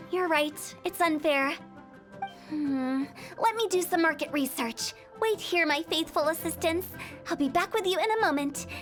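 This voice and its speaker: A woman's voice: very high voice, measured pace, fairly bright tone, soft background music. A woman playing a cheerful, eccentric young funeral parlor director in an anime game, breezy and theatrical. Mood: breezy, persuasive.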